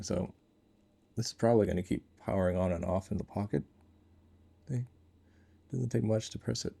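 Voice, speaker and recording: A man's voice: lowish voice, creaky, dark tone. A man giving casual, unscripted product commentary, thinking aloud in short, informal remarks as a reviewer.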